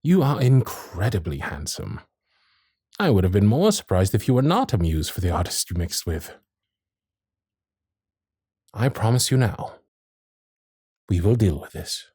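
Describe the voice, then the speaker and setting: A courtly Victorian gentleman, acted by a man, speaks in a low, breathy voice recorded close and dry. His pitch swings widely through formal, genteel phrases. He is an ardent, protective suitor in a period romance roleplay. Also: sing-song, dry recording, heavily acted. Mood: reassuring, resolute. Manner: formal, genteel period diction with hesitant pauses.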